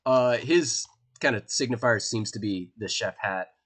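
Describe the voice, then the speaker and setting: Man, game master, rambling, filler-heavy, mid-pitched voice. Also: unhurried, a bit bright. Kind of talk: narrating a tabletop game.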